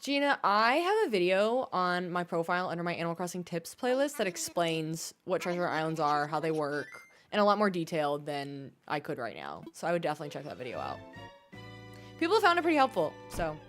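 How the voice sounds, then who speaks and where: A woman streaming a game, chatting fast and casually with her viewers. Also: fairly low pitch, big pitch swings, fairly bright tone, soft background music. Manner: fast-talking, chatty, bubbly.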